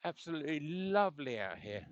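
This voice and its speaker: Man, slightly high voice, chatty cyclist talking through a dull headset mic on the road. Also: big pitch swings, brisk, dry recording. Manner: rambling, chatty, chuckles often. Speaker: cycling vlogger. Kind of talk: casual chat while riding. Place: outdoors on a bike on the road. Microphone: helmet intercom headset mic.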